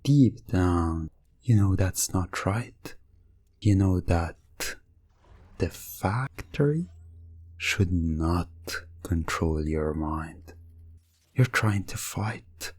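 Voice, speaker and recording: Very slow, husky male hypnosis narrator. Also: deep voice, lively intonation, a little mellow, no echo. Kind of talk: slow scripted second-person hypnosis ASMR narration. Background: music.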